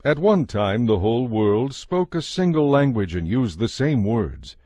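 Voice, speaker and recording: A man giving a measured, solemn scripted narration of a dramatized Bible. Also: mid-range voice, sing-song, dark tone, dry recording. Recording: narration over a music bed.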